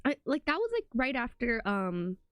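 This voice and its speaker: A woman's voice: on the high side, big pitch swings, normal speed, no echo, soft background music. A young woman with a congested voice chatting casually to her live stream, rambling with lots of "like" and "um" fillers. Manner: rambling, full of "like" and "um".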